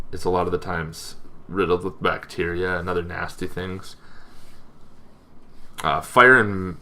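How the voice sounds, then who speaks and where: A man hosting an informal explainer on tabletop game monsters, talking in a casual, halting, loosely phrased way. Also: low voice, sharp tone. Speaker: host of a tabletop roleplaying lore show.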